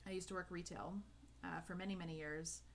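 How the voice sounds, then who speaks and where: Chatty Canadian woman, lower voice. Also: steady tone, a little fast, slight background noise. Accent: Canadian English. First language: English. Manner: chatty, upbeat, many fillers and restarts. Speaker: calligraphy livestreamer. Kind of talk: casual chat with live viewers while lettering.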